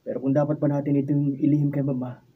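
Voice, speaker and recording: Male actor as deferential, hesitant youngest brother, radio drama. Breathy mid-pitched voice, distant room recording. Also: level tone, fast, narrow, tinny audio, natural voice.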